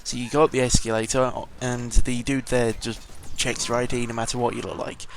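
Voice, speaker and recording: British male, late teens, little pitch movement, casual hesitant rambling, slightly ill-sounding, audible background noise. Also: flat delivery, brisk. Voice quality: sounds congested or under the weather. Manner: hesitant, tangential, mildly sweary. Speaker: vlogger. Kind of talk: casual rambling audio log. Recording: noisy home microphone recording.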